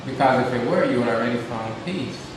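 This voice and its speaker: A man giving a spiritual lecture as a teacher, speaking deliberately, with rhetorical questions and repeated phrases for emphasis. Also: medium pitch. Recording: very echoey reverberant room, distant, loud hiss.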